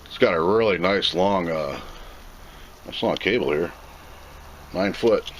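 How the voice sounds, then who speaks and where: A man's voice: low-pitched, unhurried, a little hiss or hum. A plainspoken man giving an off-the-cuff, casual commentary as he reviews and unboxes a product.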